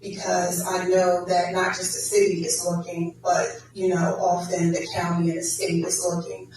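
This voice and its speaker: A woman who serves on city council, answering forum questions in a measured way. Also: fairly low pitch, completely flat delivery, darkish tone, slight background noise. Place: public meeting hall. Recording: echoey hall, distant mic.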